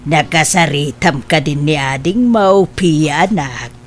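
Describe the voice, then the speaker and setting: A woman's voice: deep voice, slow, faint music behind. A woman playing a fond, fretful older mother in an Ilocano audio drama.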